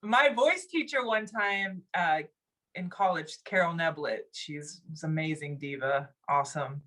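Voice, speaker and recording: A young adult woman from Texas, a singer talking as a guest in a casual conversation, laughing often and getting loud when excited. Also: low voice, unhurried. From Texas, USA.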